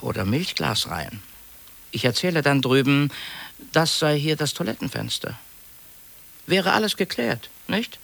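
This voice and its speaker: An actor in a 1950s radio play, playing a fussy, anxious middle-aged office clerk who talks in a confiding, hesitant, gently pleading way. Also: male voice, fairly high pitch, big pitch swings, unhurried, gravelly, pauses often, heavily acted.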